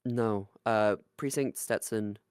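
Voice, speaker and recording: A podcast co-host chatting casually in an animated, jokey way and swearing freely. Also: medium pitch, slow pace, smooth, dry recording, clean studio sound. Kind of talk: casual chat.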